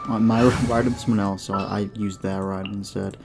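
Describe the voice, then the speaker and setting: A man's voice: fairly low pitch, fairly even intonation, fairly quick, gravelly, a little mellow, soft background music. A man giving casual commentary while playing a video game. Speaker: gamer streaming a playthrough.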